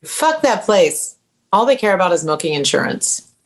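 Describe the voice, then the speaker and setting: An actress reads a brash, haggard woman in her forties with plenty of fry in a slightly low voice. She is bitter and blunt, tossing out sardonic lines in a drama table read. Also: very animated, moderate pace.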